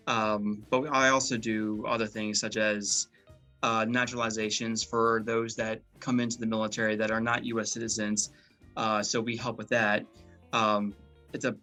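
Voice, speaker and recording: A man's voice: lowish voice, bright, forceful tone. A man, a guest chatting casually with many fillers and restarts. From Maryland, USA. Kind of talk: casual interview chat.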